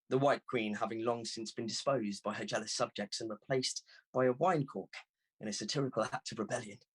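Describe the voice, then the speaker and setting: Male audiobook reader, mid-range husky voice, expressive first-person literary narration. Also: medium pitch.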